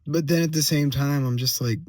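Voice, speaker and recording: A man with a slightly hoarse voice giving a casual personal update as a vlogger, venting in a halting way, repeating phrases and checking in with tag questions. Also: on the high side, steady tone, no echo. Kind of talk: casual solo monologue, venting.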